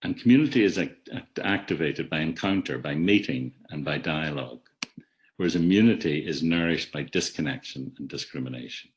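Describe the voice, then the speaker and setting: A man giving a reflective academic talk in a webinar, measured, with frequent restarts and words that trail off softly. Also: a bass voice, expressive, a little hiss or hum. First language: not an Irish speaker. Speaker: webinar speaker. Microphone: video call.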